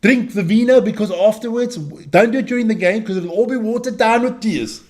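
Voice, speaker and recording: High voiced guy joking around on a rugby show. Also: fairly quick, a little mellow. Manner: joking, swears often, mock-Italian number calling. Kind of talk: casual banter, reading out team lineups.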